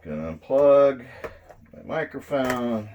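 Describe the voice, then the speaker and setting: A middle-aged man giving a casual, unhurried hands-on demonstration, explaining each step as he goes. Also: medium pitch, expressive, lo-fi sound, slight background noise. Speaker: presenter of electronics demo videos. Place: indoors at a draped table.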